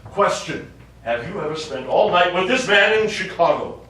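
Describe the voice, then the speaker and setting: A man on stage plays a smug, none-too-bright lawyer who comes on eagerly and suggestively. His fairly high voice swings widely in pitch. It is a live theatre recording, distant and echoey with some noise. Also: lively intonation, moderate pace. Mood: amused, smug. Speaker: stage actor. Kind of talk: live stage comedy acting.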